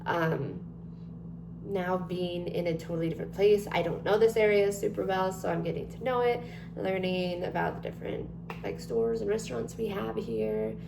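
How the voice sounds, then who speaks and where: Twenties woman, slightly low voice, relaxed rambling vlog talk; roomy echo and background hum. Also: moderate pace, gravelly. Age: 20s. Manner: chatty, rambling with many ums and likes. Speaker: vlogger. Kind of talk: casual chat to camera. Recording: echoey room with noticeable hum.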